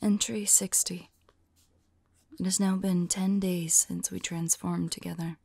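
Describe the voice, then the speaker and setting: A woman playing a tender, devoted vampire lover in an intimate ASMR roleplay, speaking softly and affectionately, often in a whisper. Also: on the low side, level tone, no echo, full character voice. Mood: reflective. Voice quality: soft, breathy, often whispering. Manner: slow, wistful, affectionate.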